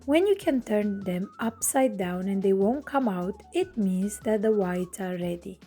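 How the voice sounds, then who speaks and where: A woman's voice: mid-range voice, lively intonation, a little slow, warm tone, soft background music. Romanian-born woman narrating a recipe. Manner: clear step-by-step instructing. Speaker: cooking channel host. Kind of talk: scripted cooking narration.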